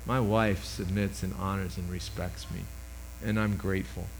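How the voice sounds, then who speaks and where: A man in his sixties with a loud voice, preaching a sermon into a microphone with emphatic swings between loud and hushed. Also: sits low, fairly even intonation, moderate pace, a little hiss or hum, low-quality recording.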